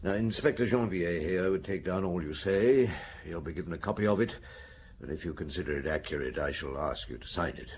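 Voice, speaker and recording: An older man playing a seasoned, courteous police chief inspector in a radio drama, deliberate and patient as he narrates and questions. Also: sits low, a little hiss or hum, lightly acted. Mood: patient questioning. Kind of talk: radio drama acting and first-person narration.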